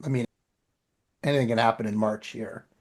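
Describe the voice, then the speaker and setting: A man's voice: mid-range voice, steady tone, fairly quick, darkish tone. A man telling anecdotes in a rambling, informal way as a podcast co-host. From Canada. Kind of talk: casual chat, anecdotes.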